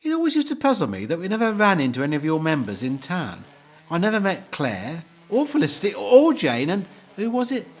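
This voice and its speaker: A middle-aged man playing a mild, slow-witted, pedantic husband in a comic audio play, hesitant, literal-minded and polite. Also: sits high, wildly swooping pitch, full character voice. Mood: matter-of-fact. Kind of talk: two-hander comic audio play dialogue.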